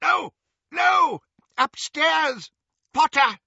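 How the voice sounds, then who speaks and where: A man's voice: animated, extremely slow, creaky, pushed and bright, no room sound, muffled recording, exaggerated voice. A man voicing a terrified father pleading for his daughter, tearful and strained, repeating himself desperately in an audiobook. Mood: panicked shouting. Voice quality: shrill, strained.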